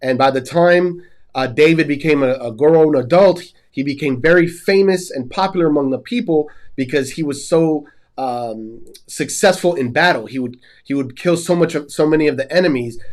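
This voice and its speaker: A man's voice: on the high side, normal speed. A man hosting a Bible study show, sounding nasal and stuffy from a cold, and earnestly retelling scripture background as a story. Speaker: host.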